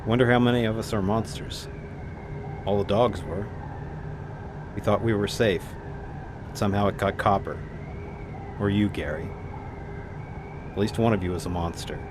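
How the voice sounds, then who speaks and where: Male actor as grave polar expedition man, very deep voice, suspicious, blunt, audio drama, noisy rumbling background. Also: fairly even intonation, normal speed, pauses often, close to an everyday voice. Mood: grim suspicion. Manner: terse, blunt.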